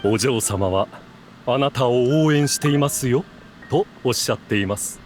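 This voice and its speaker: A loyal raven attendant from an anime game, acted by a man, formal and deferential. He delivers his courteous lines quickly over faint music. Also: mid-range voice, natural voice. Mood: dignified, polite. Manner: polite, formal, servile. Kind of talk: Japanese anime game voice acting.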